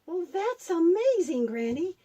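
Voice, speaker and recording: A woman's voice: very high voice, sing-song, a little slow, dark tone, voice pushed up, heavily acted. A woman voicing an inquisitive young boy puppet who asks short, eager questions in a children's story. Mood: amazed.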